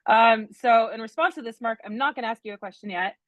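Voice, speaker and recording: A woman's voice: fairly high pitch, quick pace, fairly bright tone, dry recording. Chatty woman moderating, dry sound. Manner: chatty, lots of "like" and "right?". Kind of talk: casual moderating.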